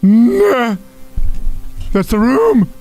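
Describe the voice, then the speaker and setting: A man's voice: very high voice, very animated, drawn-out words, vocal fry, a little mellow. A man in his twenties giving jumpy, chatty reaction commentary, easily spooked. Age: 20s. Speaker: reaction video host. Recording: music behind the voice.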